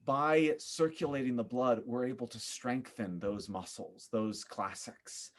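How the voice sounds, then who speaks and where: A man chatting casually as a podcast guest, with an animated, theatrical way of talking. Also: medium pitch, unhurried. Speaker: former actor.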